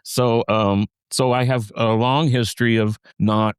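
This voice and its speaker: A man with a low voice in casual podcast conversation, talking haltingly with many restarts and fillers. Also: steady tone, clear, resonant tone, dry recording, clean studio sound. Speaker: podcast co-host.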